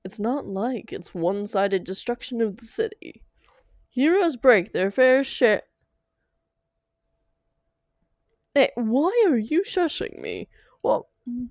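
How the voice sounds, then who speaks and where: Casting: female actor as theatrical villainess sweetheart, audio roleplay, unhurried and mellow, doting yet exasperated. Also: fairly high pitch, huge pitch swings, no room sound, heavily acted. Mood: disgusted. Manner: theatrical, affectionate pet names, exasperated protests. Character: theatrical female supervillain, doting, grumbling lover. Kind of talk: scripted audio roleplay addressed to the listener.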